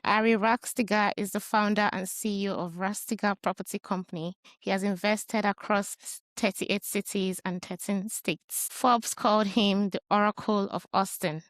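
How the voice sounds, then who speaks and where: A woman's voice: medium pitch, no room sound. A woman in a mid-range voice hosts a podcast interview. She introduces her guest and asks questions in a friendly, easy manner. The sound is dry and close. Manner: friendly, laughs often, reads intros. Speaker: podcast host.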